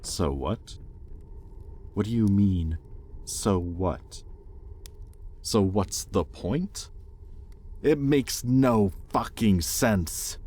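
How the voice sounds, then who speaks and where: A man playing an exasperated, possessive demon master in an audio roleplay, sardonic yet grudgingly caring, speaking with pointed pauses. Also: lowish voice, lively intonation, darkish tone, heavily acted. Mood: frustrated, bitter. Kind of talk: intimate audio roleplay, dramatic monologue.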